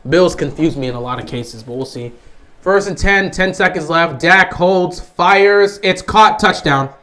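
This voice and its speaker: Hoarse young man streaming game commentary. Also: high-pitched, measured pace, bright, forceful tone. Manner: frustrated, resigned rambling. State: hoarse, sore throat. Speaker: livestream host. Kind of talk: casual live game commentary.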